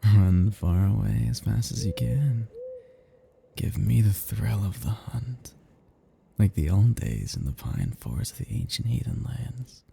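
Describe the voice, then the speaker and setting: Man playing a low, dark, possessive vampire. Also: expressive, gravelly, a little hiss or hum, heavily acted. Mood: predatory, teasing. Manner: slow, teasing, archaic turns of phrase. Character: possessive seductive male vampire, menacing yet teasing. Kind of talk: intimate ASMR roleplay acting.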